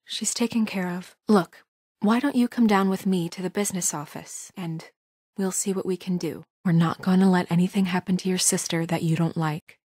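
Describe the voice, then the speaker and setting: A woman's voice: fairly low pitch, fairly even intonation, a little mellow, breathy edge, dry recording. Composed, caring woman official, very dry sound. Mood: gentle, sorrowful. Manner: earnest, measured, diplomatic. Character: composed, caring, firm young woman official in a fairy-tale community. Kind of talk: naturalistic video game voice acting.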